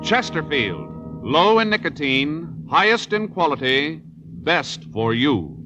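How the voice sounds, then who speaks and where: A man's voice: a little high, sing-song, slow pace. A man reading formal, emphatic scripted announcements in a 1950s radio style. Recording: dull vintage radio recording. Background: loud brass music.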